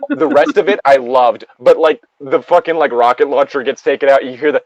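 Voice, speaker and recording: A man's voice: mid-range voice, fairly quick, dry recording, muffled recording. A man co-hosting a casual group reading, chatting and explaining things with plenty of swearing. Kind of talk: casual banter and reading aloud.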